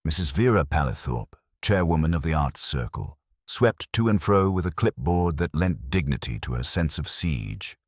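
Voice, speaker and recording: A man narrating a period comic mystery from a script in a wry, measured, literary manner. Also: extremely low pitch, sing-song, no echo, studio quality. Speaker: narrator of an audio mystery story.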